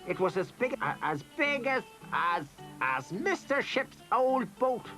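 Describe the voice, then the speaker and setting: Old Irish storyteller: playful, lilting, very animated pitch, faint music bed. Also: male voice, a little slow, full character voice. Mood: storytelling. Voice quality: lilting, playful, spins tall tales. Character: kindly teasing old Irishman, a donkey keeper. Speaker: storyteller character. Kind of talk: children's drama acting.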